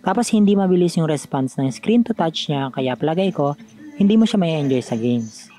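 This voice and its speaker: A man's voice: on the high side, animated, normal speed, mellow, soft background music. A man with a Filipino accent giving a conversational, scripted voice-over as a tech review vlogger.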